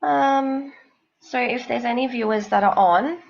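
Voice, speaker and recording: A woman with a cold and a snuffly nose, giving psychic card readings on a live stream in a slow, rambling, reassuring way. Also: on the high side, creaky, darkish tone, lo-fi sound.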